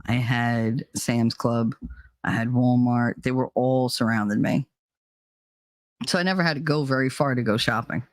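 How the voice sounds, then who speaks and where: A middle-aged American woman from New Jersey chatting casually as a live show host, blunt, teasing and quick to swear. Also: extremely low pitch, dry recording, studio quality. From New Jersey, United States. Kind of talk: casual chat with listeners.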